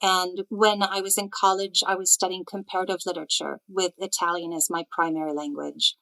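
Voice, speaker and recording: A Midwestern woman in her fifties talks through her story in an easy, chatty way, dropping ums and small self-deprecating asides as she goes. Her voice sits a little low for a woman. It has the unhurried feel of a remote podcast guest. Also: level tone, creaky, pushed and bright, low-quality recording. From United States, near Chicago. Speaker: literary translator, guest. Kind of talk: conversational interview storytelling.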